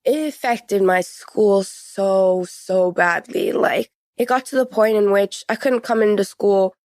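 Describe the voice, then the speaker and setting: A woman's voice: mid-range voice, unhurried, a little mellow, no room sound. A woman in her late teens giving a conversational personal account as a podcast guest.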